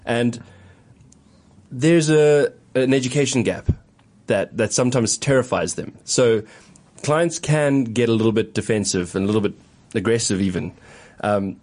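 A man's voice: medium pitch, lively intonation, measured pace, a little mellow. A man co-hosting a podcast panel chat, thoughtful and rambling before he gets to his question. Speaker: podcast co-host.

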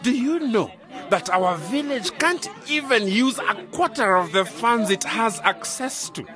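Slick, excitable official for radio drama; high, swooping, music and crowd behind. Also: male voice, a little slow. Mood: animated. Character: smooth-talking, excitable ex-ministry man offering funding tips.

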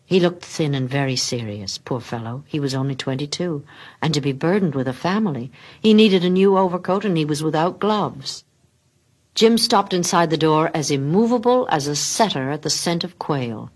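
A woman narrating a literary story expressively, with theatrical pauses and hushed drops in her delivery. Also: deep voice, animated. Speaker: story reader.

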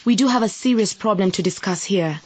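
An actress playing a busy, easily shaken female election candidate in a radio drama, polite but brisk and expressive. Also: mid-range voice, close to an everyday voice. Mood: businesslike, flustered.

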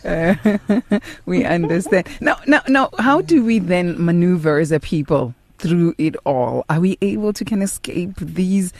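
A woman's voice: fairly low pitch, animated, unhurried, darkish tone. A woman with a South African accent hosting an interview and asking questions. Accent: South African English. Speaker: host.

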